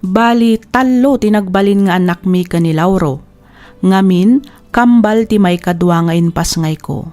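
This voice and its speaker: A woman narrates a letter in a scripted drama style, with an Ilocano accent. Her voice is warm, soft-edged and medium in pitch, with music faintly behind it. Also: unhurried.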